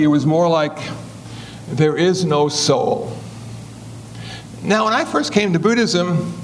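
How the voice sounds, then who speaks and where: A man in his seventies gives a Buddhist talk in a folksy, joking, conversational manner. His voice sits a little high for a man. A faint ambient noise stays under the recording. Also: poor audio. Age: 70s. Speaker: Buddhist teacher. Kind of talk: humorous dharma talk.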